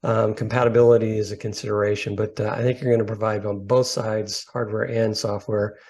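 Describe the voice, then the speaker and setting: A man's voice: on the low side, flat delivery, a little fast, a little mellow. A man presenting a webinar over a video call, explaining points plainly and rephrasing as he thinks aloud. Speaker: company founder presenting.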